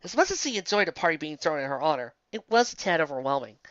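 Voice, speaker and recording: A man's voice: fairly high pitch, big pitch swings, fast, a bit bright, no room sound, dull audio. A man reading a fantasy story aloud in a theatrical, dramatic way. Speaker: narrator, reader. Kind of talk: expressive amateur fan fiction reading.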